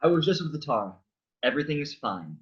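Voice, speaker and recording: A man's voice: fairly high pitch, sing-song, fast talker. Man playing a weary, sardonic lover, slightly muffled. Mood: exasperated. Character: Lazarus, weary, brooding, sardonic young man. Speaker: stage actor in a play reading. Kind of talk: staged play reading.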